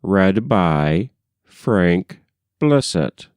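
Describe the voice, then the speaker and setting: A man reading poetry aloud in a measured, solemn recitation. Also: sits low, animated, darkish tone, no room sound, clean studio sound. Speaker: poetry reader.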